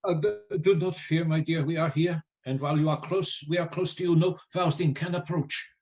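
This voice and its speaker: A man acting a learned vampire hunter in a radio drama. His voice sits a bit high for a man, and he speaks with grave, measured authority and steady resolve. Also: natural voice. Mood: soothing.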